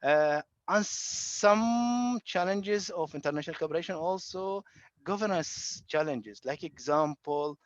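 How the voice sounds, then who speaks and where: A high-voiced man from Iraq gives an academic talk over a video call, stopping often to search for words. The sound is dry and close, with a dull, lo-fi quality from the online connection. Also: no echo. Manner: halting, many fillers and restarts. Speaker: guest lecturer. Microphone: computer mic on video call.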